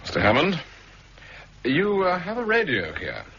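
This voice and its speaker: A man's voice: fairly high pitch, huge pitch swings, measured pace, sharp tone, heavily acted. A man with a British accent playing a dutiful, coolly defiant army captain in a 1960s radio drama, firm, terse and formally polite. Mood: businesslike. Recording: muffled, hissy 1960s radio recording.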